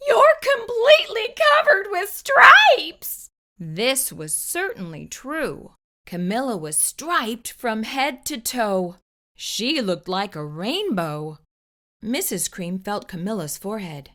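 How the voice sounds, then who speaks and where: A woman narrating a children's storybook in an expressive, deliberate way as a storyteller. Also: mid-range voice.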